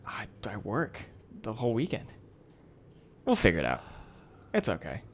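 A man's voice: fairly low pitch, huge pitch swings, moderate pace, a little hiss or hum. A young man co-hosting a podcast, talking casually with slangy, opinionated remarks. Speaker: podcast co-host. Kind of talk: casual chat.

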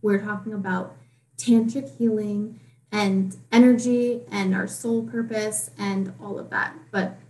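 A woman's voice: medium pitch, fairly even intonation, unhurried, a little mellow. A woman hosting a casual podcast, friendly and heavy on fillers like "um". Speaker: podcast host. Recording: echoey room, far from the mic.

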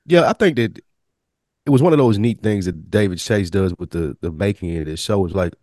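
Low, dry-sounding man chatting casually. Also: expressive, fairly quick, a little mellow, no room sound. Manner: slangy, loose. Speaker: podcast co-host. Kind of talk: casual TV discussion.

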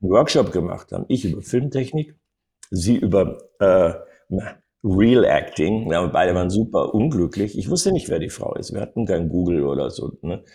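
An older man telling anecdotes as an interview guest, in an animated storytelling manner with frequent little tag words. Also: sits low, moderate pace, creaky. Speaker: acting coach and interview guest.

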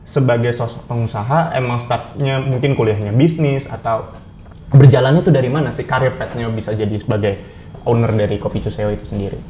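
A man with an Indonesian accent hosting a casual interview, chatty and quick to laugh. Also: medium pitch, mellow, echoey room, a little hiss or hum. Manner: chatty, lots of fillers, laughs often. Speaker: interview host, company CEO.

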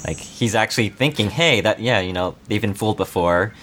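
A male podcast co-host with a fairly low voice. He talks in a casual, laid-back, conversational way. Faint ambient noise can be heard in the recording. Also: animated, a little fast, fairly bright tone. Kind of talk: casual chat.

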